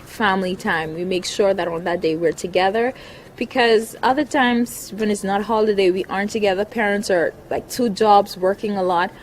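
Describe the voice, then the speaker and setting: A young woman chats easily in an interview, listing things and laughing as she talks. Her voice sits at an ordinary pitch for a woman, with faint background noise under the recording. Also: fairly even intonation, moderate pace, poor audio. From Bahamas. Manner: chatty, laughs often, lists things. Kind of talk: casual interview.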